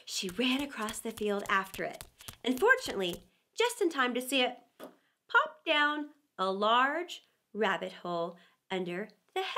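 A middle-aged woman reading a children's story, theatrical and deliberate with dramatic pauses. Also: high voice, pushed and bright. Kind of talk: expressive storybook narration.

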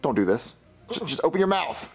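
A man's voice: fairly high pitch, very animated, a little fast, gravelly, crowd noise. A man playing an exasperated puppet dad who scolds his son in stammering, repetitive nagging. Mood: flustered.

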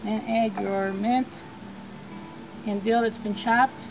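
A woman's voice: on the high side, fairly even intonation, takes it slowly, music in the background, rough recording. A woman giving plain step-by-step cooking instructions in a backyard. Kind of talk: cooking demonstration. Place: backyard outdoors. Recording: outdoor background noise.

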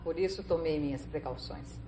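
A Brazilian woman playing an imperious, scheming gang boss in a measured manner in a 1970s telenovela. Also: deep voice, natural voice. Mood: cool, commanding. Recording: echoey old TV audio, loud music behind.

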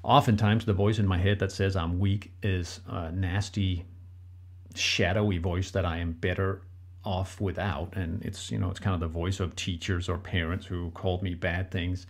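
A man's voice: deep voice, level tone, normal speed. A middle-aged man with a Danish accent, whose first language is Danish, co-hosting a relationship podcast and talking earnestly and directly to listeners. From Denmark. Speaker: podcast co-host, relationship coach. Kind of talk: solo advice talk.

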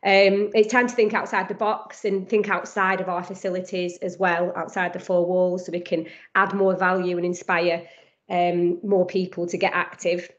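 A woman with a strong Lancashire accent speaks quickly and upbeat, full of ums and restarts. Her pitch stays fairly even and flat. She talks into a headset on a slightly dull, lo-fi video call. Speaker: guest speaker. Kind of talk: online panel presentation.

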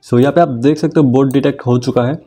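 A man hosting a screen-recorded tech tutorial, explaining each step calmly and mixing in English technical terms. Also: mid-range voice, fast.

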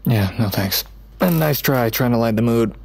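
An actor playing a jaded, sardonic but protective young man with a creaky voice, in a naturalistic audio drama. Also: medium pitch, animated, moderate pace, darkish tone. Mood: dry, sardonic. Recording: faint ambient noise.

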